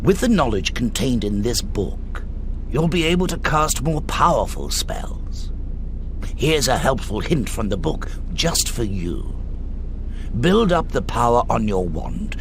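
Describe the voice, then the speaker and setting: An actor playing a kindly, formal male wizard teacher in a video game, giving instructions in a measured, polite manner. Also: mid-range voice, big pitch swings, slight background noise. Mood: instructive. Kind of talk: video game tutorial acting. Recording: loud game music behind. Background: loud music.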